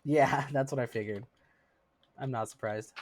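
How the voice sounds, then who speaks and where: A thirty-something streamer talks loosely and warmly to chat between moves in a game. The speech is full of fillers and easy laughs, at a middling pitch. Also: measured pace, a little hiss or hum. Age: 30s. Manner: chatty, laughs often, many fillers. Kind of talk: casual live-stream chat with viewers.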